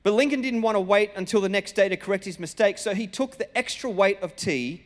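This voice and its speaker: A man's voice: high-pitched, a little fast. A man with an Australian accent preaching as a pastor, telling stories in a conversational, colloquial way and acting out dialogue. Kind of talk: sermon with personal storytelling. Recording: reverberant hall sound.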